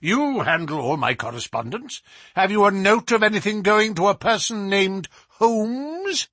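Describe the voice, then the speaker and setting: A man voicing an imperious, malicious old professor with sharp, sneering questions in a storybook reading. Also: sing-song, measured pace, husky, no echo, studio quality, heavily acted. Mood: sharp, accusing. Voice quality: high, screaming when roused. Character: malicious old male professor, imperious, erupting into screaming rage. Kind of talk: dramatised audiobook reading.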